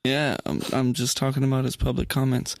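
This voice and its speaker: Deadpan sarcastic man on a podcast. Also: mid-range voice, gravelly, no echo, clean studio sound. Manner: deadpan, sarcastic jokes, drawn-out delivery. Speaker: podcast co-host. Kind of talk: casual chat.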